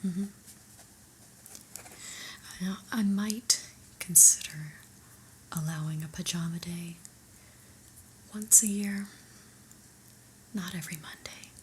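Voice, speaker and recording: Slow, whispering woman as an unimpressed boss. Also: fairly low pitch, a little hiss or hum. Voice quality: breathy whisper. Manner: deliberate, mildly stern, deadpan. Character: unimpressed new office boss, fussy and exasperated. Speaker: ASMR roleplay performer. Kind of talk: whispered ASMR roleplay monologue.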